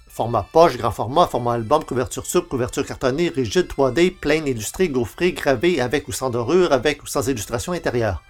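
A man's voice: medium pitch, lightly acted. A man playing an eager, pushy novice bookshop clerk with glib sales patter, in the style of old radio comedy.

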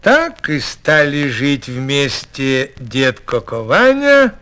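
A man reading a folk fairy tale as an expressive storyteller. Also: mid-range voice, slow, clean tone.

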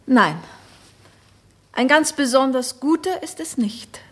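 Troubled noblewoman, measured and earnest, slightly high voice; radio play. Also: animated, a bit bright, heavily acted. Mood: cryptic. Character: noblewoman haunted by a strange idea. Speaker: Florentine, married noblewoman. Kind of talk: radio drama acting.

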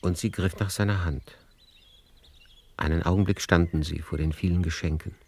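A man narrating a literary radio play in a measured, lyrical storytelling manner. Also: low voice, steady tone, darkish tone.

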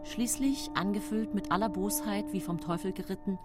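An actress playing a composed, cold-blooded woman who narrates her own story in a measured, controlled way in a radio play. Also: medium pitch, close to an everyday voice. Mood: controlled, uneasy. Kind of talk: scripted first-person radio play narration. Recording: music behind the voice.

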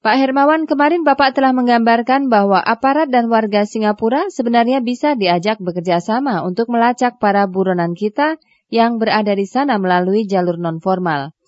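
Young female radio presenter. Formal, measured delivery, a little high-pitched, in a dry studio. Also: a little mellow, no echo, highs cut off. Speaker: radio host.

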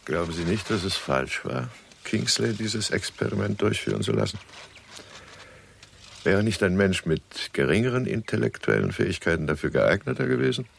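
A man's voice: on the low side, animated, normal speed, creaky, a little hiss or hum. An actor playing a thoughtful, doubting man in a 1960s radio play, putting long, probing questions. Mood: doubtful, reflective.

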